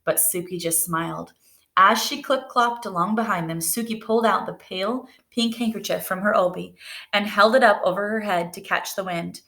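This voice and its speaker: Woman gently reading a picture book. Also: medium pitch, normal speed, gravelly. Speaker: storybook reader. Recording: echoey room.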